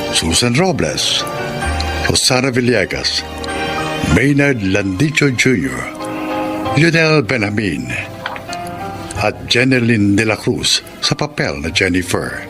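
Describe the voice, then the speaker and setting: A male radio drama host narrates slowly and deliberately. His mid-pitched voice rises and falls dramatically over prominent music. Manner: deliberate, phrase by phrase.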